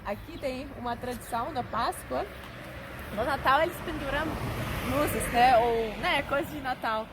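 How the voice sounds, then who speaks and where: Woman, family vlogger, chatty and explanatory, high-pitched, off-mic with background noise. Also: unhurried. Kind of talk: casual explanatory talk.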